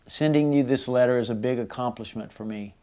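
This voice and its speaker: A man's voice: medium pitch, brisk, dark tone, smooth. A middle-aged man, a son reading a personal letter aloud and reflecting on it.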